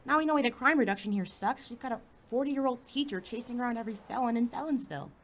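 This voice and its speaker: A woman playing a blunt, sarcastic high school girl, incredulous and snarky, in a comedic audio drama. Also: fast talker, natural voice. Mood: scornful.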